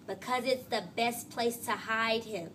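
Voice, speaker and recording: Brash, cocky schoolgirl acted by a woman, high-pitched. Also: steady tone, a little slow, fairly bright tone, slight background noise. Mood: dismissive. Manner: emphatic, boastful.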